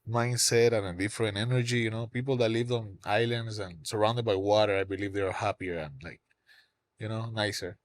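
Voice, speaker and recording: A man's voice: fairly low pitch, steady tone, brisk, no echo. A man in his thirties with a Cuban accent, a songwriter guest chatting casually, rambling with lots of "you know, like" fillers. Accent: Cuban Spanish. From Cuba. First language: Spanish. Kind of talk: casual interview chat.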